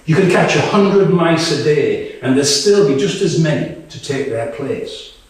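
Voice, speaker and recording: A man's voice: fairly high pitch, animated, normal speed, natural voice. A man reading the part of a weary, blunt woman soldier in a dramatic monologue, wry, conversational and sometimes profane. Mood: disgusted, wry. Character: Tonya, weary, blunt female Ukrainian soldier. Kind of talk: dramatic monologue read to a live audience. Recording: very echoey room, distant microphone.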